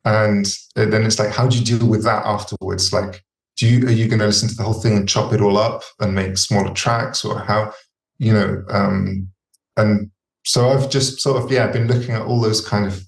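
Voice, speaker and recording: A man's voice: lowish voice, fairly even intonation, normal speed, gravelly, roomy sound, low-quality recording. A man raised in the UK and Chile, a musician talking casually and hesitantly with many fillers. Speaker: musician, workshop participant. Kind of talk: casual conversation.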